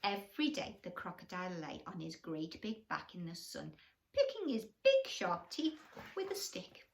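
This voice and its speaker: A woman's voice: fairly low pitch, huge pitch swings, normal speed, gravelly. A woman storyteller reading a bedtime story expressively to children, with questions and asides to the young listeners.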